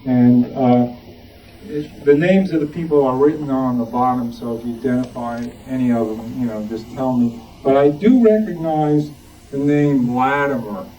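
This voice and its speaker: A man gives an informal show-and-tell, picked up from a distance in a noisy room. His voice is mellow and dark, and he talks haltingly, feeling around for the right word as he presents. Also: medium pitch. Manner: hesitant, halting, searching for words. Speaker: club member presenting collection. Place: club meeting room with audience. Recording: distant muffled room mic, background noise.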